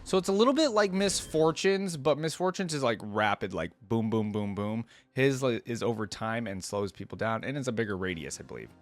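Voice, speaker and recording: A man's voice: medium pitch, fairly quick, few pauses. A man giving casual reaction commentary as a host, conversational and thinking aloud.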